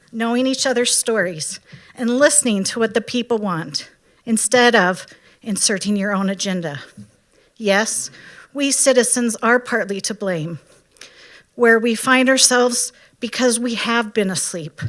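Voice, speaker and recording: A woman reading a prepared public comment at a county board meeting in a measured, critical way. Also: a little high, fairly bright tone.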